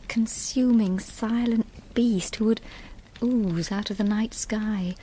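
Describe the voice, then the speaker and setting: Haunted, brooding farm wife for radio drama, played by an actress: unhurried, halting, hushed, thinking aloud. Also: female voice, mid-range voice, slight background noise, natural voice, poor audio. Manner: halting, hushed inner monologue. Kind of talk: BBC radio drama acting.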